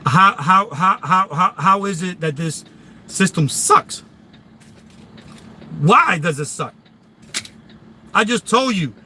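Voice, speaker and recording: A man's voice: high voice, pushed and bright. A man with a Philadelphia accent on a live stream, talking casually and emphatically, repeating himself and restarting sentences. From Philadelphia, USA. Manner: emphatic and repetitive, many restarts.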